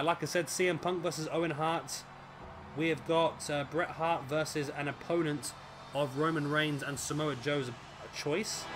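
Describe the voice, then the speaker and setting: A man with a raspy, fading voice, commentating on a wrestling video game as the show's host. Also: on the high side. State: hoarse, voice fading. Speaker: host and commentator of a gaming series. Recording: clearly noisy background.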